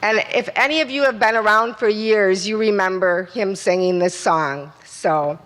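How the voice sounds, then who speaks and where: A woman's voice: medium pitch, moderate pace, a bit bright, long reverb tail. A woman emceeing a community stage event, talking through a voice she says she is losing. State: losing her voice. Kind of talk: stage announcements.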